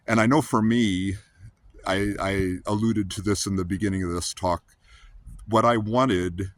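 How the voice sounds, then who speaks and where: A man in his fifties with a low, rumbly voice, hosting a rambling, earnest casual monologue full of restarts. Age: 50s. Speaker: host.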